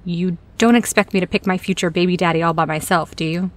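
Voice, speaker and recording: A woman's voice: lowish voice, fairly even intonation, fast, lightly acted. Actress as a frank, wry woman boss. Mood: frustrated. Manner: wry, candid, casual. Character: frank, dry-humoured female boss and podcast host. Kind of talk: audio drama acting, conversational.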